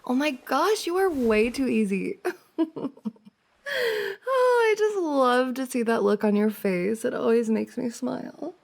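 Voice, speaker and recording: A woman's voice: sits high, animated, slow, creaky, heavily acted. A woman playing a playful, teasing young woman, a best friend's older sister, in a giggly, casual ASMR roleplay. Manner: giggly, teasing banter with frequent soft laughs.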